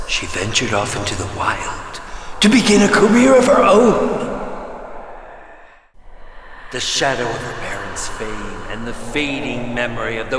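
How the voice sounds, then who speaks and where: An actor playing a menacing, sadistic horror film director in a video game, theatrical and drawn-out in delivery, in an echoey space. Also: male voice, medium pitch. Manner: flamboyant, drawn-out, swinging from hushed to shrieking. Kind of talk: theatrical game villain monologue and taunts. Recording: echoey reverberant hall with background noise.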